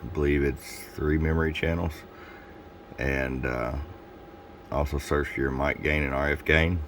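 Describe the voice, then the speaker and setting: A man with an extremely low, bassy voice presents a casual product demonstration. He explains things informally and without hurry, like a seller walking a customer through an item. Some noise sits behind his voice. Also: fairly even intonation, measured pace, a bit bright.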